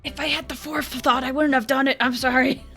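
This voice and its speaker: A woman streams a video game with casual, rambling commentary. Her voice is fairly high and bright, full of reactive asides. Soft game music plays behind her. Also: flat delivery, fast talker, vocal fry, breathy edge. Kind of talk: casual live gameplay commentary.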